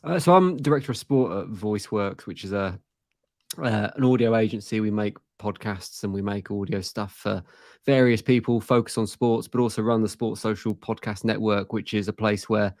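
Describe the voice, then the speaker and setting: A man with a southern English accent, softened by living in the north, talks football as a guest. He is articulate and self-deprecating, with a slightly low voice. The recording is dry, with no room sound. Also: moderate pace, no room sound. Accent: southern English, softened by years in Manchester. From southern England. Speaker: guest, rival fan. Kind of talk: casual football chat.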